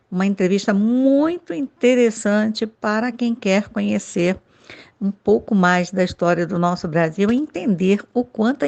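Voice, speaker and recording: A woman hosting her own channel speaks with a gravelly edge, chatty and enthusiastic, often checking in with her listeners. Her pitch sits in the middle range for a woman. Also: darkish tone. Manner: enthusiastic, chatty, frequent tag questions. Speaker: channel host, radio soap producer. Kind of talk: casual video introduction.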